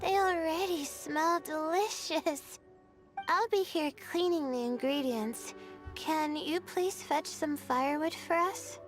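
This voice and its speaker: A very high-voiced, wistful young woman from a video game. She speaks slowly and gently, lost in fond memories, with music playing behind her. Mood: content. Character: wistful, gentle young adult woman reminiscing about childhood. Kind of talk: game character dialogue. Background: background game music.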